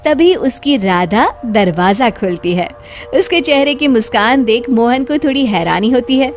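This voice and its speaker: A woman's voice: a little high, animated, unhurried, faint music behind. A woman narrating a scripted moral story for children.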